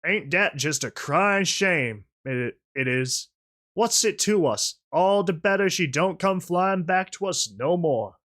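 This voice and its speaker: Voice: mid-range voice, expressive, slow pace, fairly bright tone. A narrator voicing a big, coarse, callous male boss pony in a storybook reading, with a slangy, drawling street-tough delivery. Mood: mocking. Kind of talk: audio storybook character voice.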